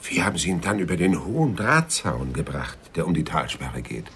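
An actor playing a shrewd, hard-boiled private detective in a radio crime drama, blunt, probing and dry. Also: male voice, rumbling low, animated, a little fast, fairly bright tone, a little hiss or hum, heavily acted. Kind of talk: 1990s German radio crime drama acting.

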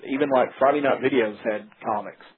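A man in his late thirties hosting a casual chat, relaxed and joking. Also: mid-range voice, brisk, husky. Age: 30s. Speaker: podcast host. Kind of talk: casual conversation. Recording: tinny phone-like sound.

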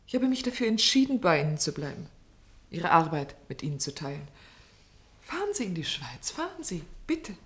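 An actress playing an anguished, dutiful clinic worker in love in a German radio drama, earnest, formal and restrained. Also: female voice, on the low side, very animated, normal speed, husky. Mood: quietly pleading. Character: anguished, resigned, dutiful woman working at a clinic, in love with a doctor.

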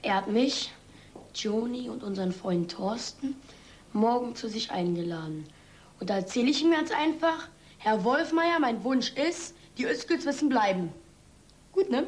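A woman playing an excitable, plucky child in a drama. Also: a little high, measured pace. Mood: plucky, proud. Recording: slight background noise, ambience.

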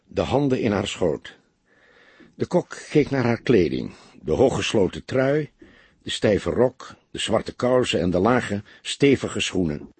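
A man's voice: on the low side, darkish tone, breathy edge, no room sound. A man reading a detective novel as an audiobook, in dramatic scripted narration.

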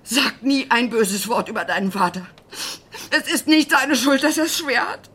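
A woman's voice: on the high side, normal speed, fairly bright tone, slightly rough. A woman playing a boy's protective, emotional mother in a radio drama. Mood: pleading, wounded.

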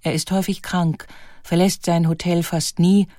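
A woman narrating a scripted biographical documentary in a measured, articulate manner. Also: on the low side, flat delivery, warm tone, no echo, clean studio sound.

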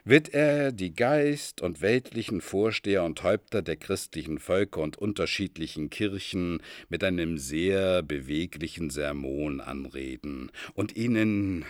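A man's voice: low-pitched, lively intonation, a little slow, few pauses, no room sound, studio quality. An actor playing a pompous madman who believes he is Jupiter, declaiming grandly and solemnly in a literary radio drama. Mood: lofty, explaining. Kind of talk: theatrical literary radio drama in archaic German.

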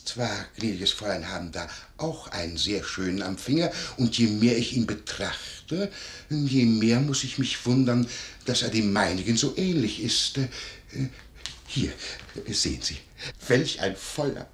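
An actor playing a fussy, prying, obsequious innkeeper in a radio play, pedantic and wheedlingly courteous. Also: male voice, on the low side, lively intonation, measured pace. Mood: gossipy, self-righteous. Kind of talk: 1950s German radio play acting. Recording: roomy 1950s radio studio recording.

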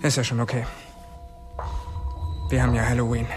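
A man's voice: mid-range voice, monotone, normal speed, distant mic, over music, lightly acted. An actor playing an anxious, guilt-ridden teenage boy in a radio drama. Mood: gentle.

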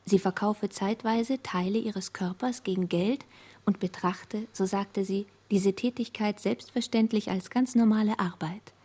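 An actress playing a self-assured woman, narrating in reported speech in a literary radio play, with a husky voice. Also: medium pitch, fairly even intonation, measured pace, dark tone, close to an everyday voice. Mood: matter-of-fact.

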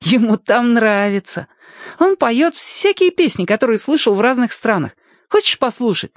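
Young boy, storybook: eager, trusting chatterbox, pitched way up and swinging excitedly, close dry sound. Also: normal speed, dry recording. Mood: shy, inviting. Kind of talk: acted audiobook dialogue.

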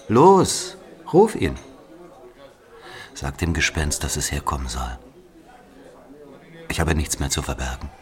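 Very deep-voiced, brooding aging narrator. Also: male voice. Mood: taunting. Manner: brooding, reflective, confiding. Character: aging Algerian man bitterly recounting his past to a listener. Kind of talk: dramatic first-person narration in German.

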